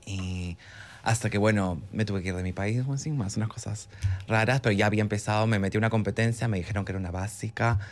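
A man from Peru, a drag performer telling his story in a lively way. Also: lowish voice, fast. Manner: lively storytelling with acted quotes.

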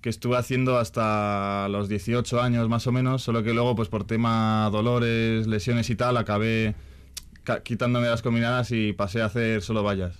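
Clear, low-voiced young man from northern Spain. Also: flat delivery, moderate pace. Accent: northern Spanish. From Vitoria-Gasteiz, Basque Country, Spain. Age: young adult. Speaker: athlete guest, hurdler. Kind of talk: casual interview talk.

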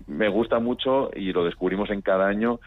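A man's voice: fairly low pitch, fairly quick, no room sound. A man from Pamplona with a northern Spanish accent, chatting casually and anecdotally as an interviewed filmmaker over a phone-like radio link. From Pamplona, Spain. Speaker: documentary filmmaker being interviewed.

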